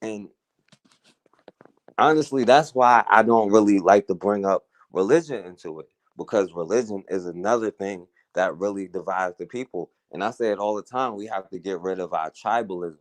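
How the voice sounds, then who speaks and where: A man's voice: on the low side, moderate pace, dry recording. A man hosting a talk radio show, talking through a casual, earnest monologue, often saying "you know" and "right?".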